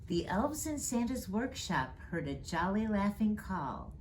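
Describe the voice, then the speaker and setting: A woman reading a children's storybook aloud in an expressive storytime style. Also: mid-range voice, a little hiss or hum, poor audio. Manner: slow, expressive, rhyming read-aloud delivery. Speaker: storyteller reading as Mrs. Claus.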